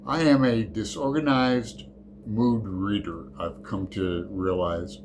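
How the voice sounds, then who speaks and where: Slow, rambling middle-aged man. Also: lowish voice, steady tone, a little mellow, slight background noise. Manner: rambling, digressive, halting with fillers and self-corrections. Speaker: book channel host. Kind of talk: casual unscripted book vlog.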